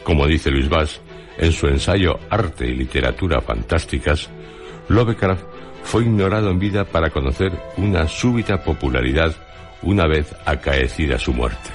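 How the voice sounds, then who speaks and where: A man's voice: rumbling low, expressive, normal speed. A man narrating a scripted radio documentary in a formal, literary way. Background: music.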